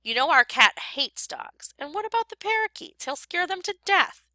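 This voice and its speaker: A woman's voice: extremely high pitch, very animated, moderate pace, bright, forceful tone, no echo, pitched above the performer's own voice, full character voice. A woman reading a story and voicing a flustered wife who shrieks and scolds her husband. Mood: scolding.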